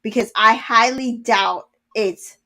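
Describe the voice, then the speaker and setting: A woman with a slightly high voice in a casual recap chat. She starts hesitantly, then reasons her points through calmly. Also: takes it slowly. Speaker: podcast co-host.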